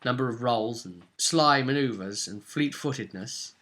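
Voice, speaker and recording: Bright-voiced man, chatty game master. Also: mid-range voice, a little slow. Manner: chatty and rambling, seeks agreement with tag questions. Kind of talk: casual recap of a tabletop role-play session.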